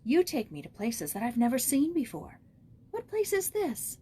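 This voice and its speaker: A woman's voice: high-pitched, very animated, normal speed, warm tone, slight background noise, voice pushed up. A woman voicing an eager, sweet-natured young girl with an earnest, questioning way of talking. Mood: curious, pleased. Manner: earnest, wide-eyed, questioning.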